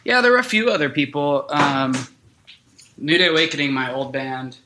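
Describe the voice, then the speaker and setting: A man's voice: a little high, normal speed, noisy background. A man of twenty-eight, telling stories as an interview guest in a loose, colloquial way. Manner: colloquial, informal, frequent "like" and "dude". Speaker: guest, band vocalist. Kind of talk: casual interview storytelling.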